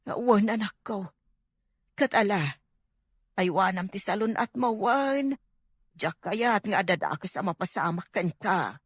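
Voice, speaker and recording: A woman with an Ilocano accent playing a caring, grateful older village grandmother in an audio drama, gentle and reassuring. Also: mid-range voice, expressive, a little slow, long pauses, breathy edge, no room sound, clean studio sound. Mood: affectionate, reassuring.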